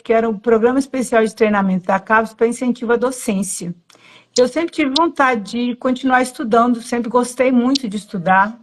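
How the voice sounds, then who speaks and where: A woman in her late forties with a mild Minas Gerais accent and a slightly high voice tells her own story on a live stream. She talks reflectively and wanders a little, doubling back to correct herself and tagging her phrases with a questioning "né". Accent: Brazilian Portuguese, Minas Gerais (Zona da Mata, mild). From Minas Gerais, Brazil. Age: late 40s. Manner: reflective, meandering, with self-corrections and frequent "né". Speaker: yoga teacher.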